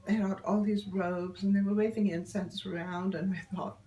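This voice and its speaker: An older woman, telling stories in a relaxed interview, often laughing and pausing with ums. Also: mid-range voice, dull audio. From Yorkshire, England. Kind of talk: casual storytelling interview.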